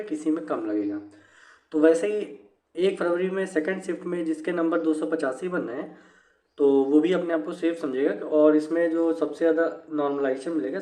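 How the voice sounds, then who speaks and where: Male explainer, slightly high voice, halting informal delivery, very roomy sound. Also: darkish tone. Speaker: exam news presenter. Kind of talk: informal explanatory commentary on exam cut-off scores. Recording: echoey room.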